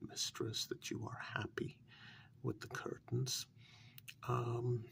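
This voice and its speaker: Casting: male actor as timid, deferential manservant for ASMR role-play; hushed, breathy, heavy vocal fry, unhurried and halting delivery. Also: medium pitch. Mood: polite, pleased. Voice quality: breathy, half-whispered, creaky soft voice. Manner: hesitant, halting, politely apologetic. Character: deferential, anxious male servant planning a monsters' ball.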